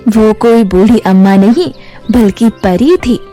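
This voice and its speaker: A solemn magical river spirit from a cartoon fairy tale, voiced by a woman, speaks with measured calm. The voice sits lower and assures the listener with grave authority, with faint music behind it. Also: close to an everyday voice. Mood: solemn, assuring.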